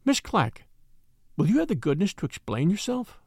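A man's voice: huge pitch swings, moderate pace, a little mellow, no echo, clean studio sound, exaggerated voice. A man playing a proud, indignant young lady. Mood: cool disdain. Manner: high-strung, indignant.

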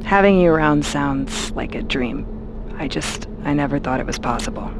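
A woman's voice: sits low, expressive, moderate pace. A busy mother amid car noise. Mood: concerned, tender. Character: busy, frank, affectionate mother and wife in car. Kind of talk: naturalistic audio drama acting. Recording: clear vehicle noise behind.

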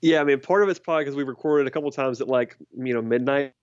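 A man's voice: mid-range voice, fast, no room sound, highs cut off. A man hosting a casual sports podcast, chatty and wry, often restarting his sentences. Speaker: podcast host.

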